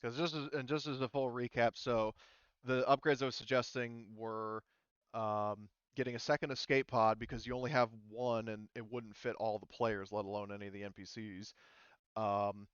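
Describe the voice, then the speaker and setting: A man running a tabletop roleplaying game, casually explaining rules in a halting, rambling, self-interrupting way. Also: fairly low pitch, fairly bright tone, clean tone, dry recording, muffled recording. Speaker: game master.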